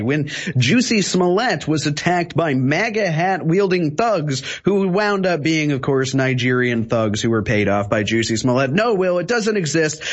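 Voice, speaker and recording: A man's voice: on the high side, lo-fi sound. A male guest on a political talk show, speaking with a sarcastic, mocking tone. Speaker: guest commentator.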